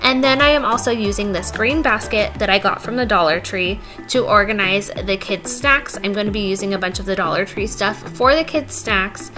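A woman's voice: mid-range voice, normal speed, hardly any pauses. A young woman narrating her own home cleaning video in a chatty, upbeat voice-over with some self-mocking jokes. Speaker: vlogger. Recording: voice-over with loud background music.

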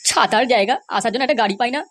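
A man voicing a cheeky, nagging middle-aged father in a comic cartoon, brash and mocking, with a pitched-up voice. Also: a bit bright, exaggerated voice. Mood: grumbling. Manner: brash, mocking, fast-talking.

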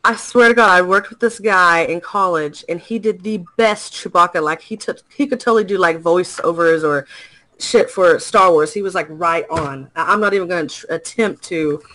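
A man's voice: high-pitched, normal speed, pushed and bright, rough recording. A man co-hosting a casual podcast, reading a news story aloud with small slips and self-corrections. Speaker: podcast co-host.

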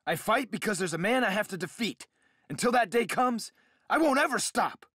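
A man's voice: high-pitched, animated, moderate pace, fairly bright tone, breathy edge, dry recording, full character voice. An actor playing a brash, good-natured male fighter and father in a video game cutscene. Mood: defiant. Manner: brash, confident.

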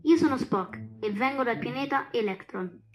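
A woman's voice: a little high, animated, normal speed, roomy sound, soft background music. A woman voicing a small, naive young alien boy, timid and hesitant, in a children's cartoon. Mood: earnest, unsure. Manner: hesitant, innocent.

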